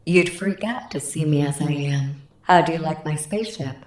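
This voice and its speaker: A woman's voice: sits low, moderate pace, a little mellow, roomy sound, natural voice. A woman playing a chatty, pleased-with-herself alien visitor in a radio play, with a theatrical manner. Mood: breezy, explaining.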